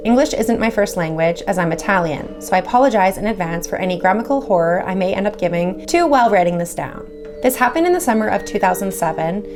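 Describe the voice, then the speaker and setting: A woman reading horror stories aloud, with casual chatty asides between them. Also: mid-range voice. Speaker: horror story reader.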